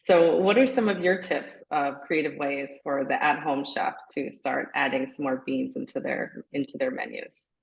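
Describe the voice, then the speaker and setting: A woman moderating a webinar Q&A on a video call, briskly introducing guests and reading out questions. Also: extremely low pitch, steady tone, gravelly, low-quality recording. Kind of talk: hosting a webinar Q&A.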